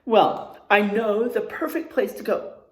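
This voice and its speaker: A woman voicing a friendly, excitable male sea turtle from a children's storybook in an expressive, exclamatory way. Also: medium pitch, a little slow, natural voice. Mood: excited. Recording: echoey room sound.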